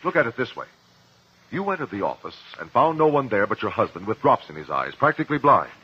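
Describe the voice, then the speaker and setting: An actor in a 1950s radio drama playing a principled cross-examiner, measured, articulate and pressing. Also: male voice, medium pitch, sing-song, husky. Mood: accusing. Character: probing, principled male courtroom cross-examiner. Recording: muffled vintage 1950s radio recording.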